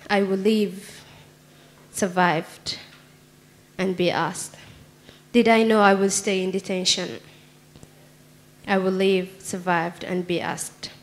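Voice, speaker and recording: A young woman from Somalia reads her own poetry aloud, her English shaped by her native Somali. She speaks in an earnest, measured way into a handheld microphone on a small theatre stage. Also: medium pitch, little pitch movement. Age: young adult. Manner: earnest, measured, reading from paper. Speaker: poet. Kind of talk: spoken word poetry reading. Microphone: handheld mic.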